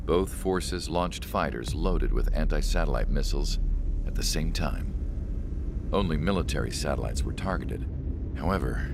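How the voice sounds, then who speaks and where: An actor playing a weary, reflective older scientist who narrates a war story in a video game in a measured, somber, detached manner. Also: male voice, rumbling low, gravelly, noisy background. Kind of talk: scripted cutscene narration. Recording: loud music under the voice. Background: loud music.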